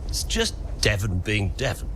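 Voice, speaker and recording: An actor playing a smooth, flattering middle-aged father who funds a racing team in a video game, persuasive and self-assured. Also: lowish voice, normal speed, a little hiss or hum. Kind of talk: video game cutscene acting.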